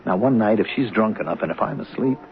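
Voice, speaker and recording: An actor playing an anxious soap star who speaks earnestly and urgently, pleading, in a 1970s radio drama. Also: male voice, fairly low pitch, fast, vocal fry, a little hiss or hum. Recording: narrow-band old radio broadcast.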